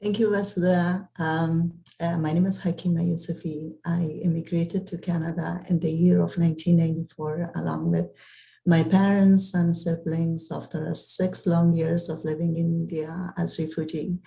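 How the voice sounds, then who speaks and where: Low gravelly woman, steady introduction. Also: moderate pace, poor audio. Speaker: settlement counselor, guest. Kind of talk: prepared self-introduction. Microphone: video call mic.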